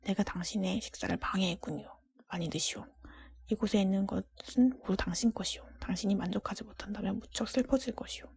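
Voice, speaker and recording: A woman voicing a sad, courteous male beast from a fairy tale, speaking formally and humbly in a breathy whisper. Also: medium pitch, steady tone, a little fast, darkish tone, natural voice. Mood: awkward, kind. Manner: formal, old-fashioned, self-deprecating speech.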